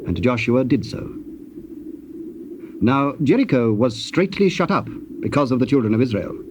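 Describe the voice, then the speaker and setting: A man narrating a dramatized scripture, formal and measured in his storytelling. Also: mid-range voice, animated. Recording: dull old cassette, faint noise.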